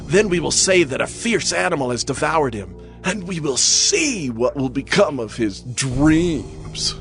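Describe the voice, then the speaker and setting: An actor playing a jealous, scheming brother in a dramatised Bible audiobook. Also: male voice, a little high, expressive, unhurried, gravelly, fairly bright tone, soft background music, full character voice. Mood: plotting.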